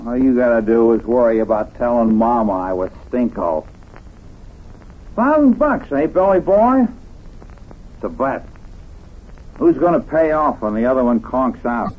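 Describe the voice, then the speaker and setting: An actor playing a boastful, belligerent drunken husband in an old-time radio drama, with a taunting, slurred drawl. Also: male voice, mid-range voice, animated, unhurried, long pauses. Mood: belligerent boasting. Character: boastful, belligerent drunken husband, hard-driving high earner. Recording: dull vintage radio recording.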